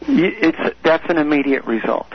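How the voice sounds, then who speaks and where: A man's voice: a little high, animated, normal speed. A man speaking as a guest on a radio call-in show, coaching listeners in an emphatic, repetitive way. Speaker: guest expert on the brain. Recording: dull lo-fi radio audio.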